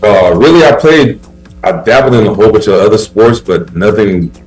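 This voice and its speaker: Laid-back athlete guest in his thirties, very low voice, relaxed rambling chat over faint music. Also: moderate pace, rough recording. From Haiti. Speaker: basketball player guest. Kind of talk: casual interview chat.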